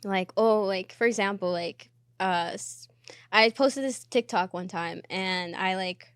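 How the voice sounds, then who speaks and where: A woman's voice: medium pitch, a little slow, smooth, dry recording, clean studio sound. A young woman from Los Angeles chatting casually as a podcast guest, with lots of filler "like". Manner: chatty, heavy use of filler "like". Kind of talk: casual conversation.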